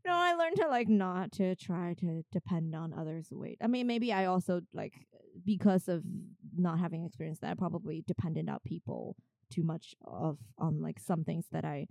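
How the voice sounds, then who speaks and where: A woman's voice: on the low side, moderate pace, creaky, no echo. A young woman from China, chatting casually as an interview guest and acting out bits of dialogue as she tells stories. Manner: animated, acts out reported dialogue. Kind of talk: casual conversational storytelling.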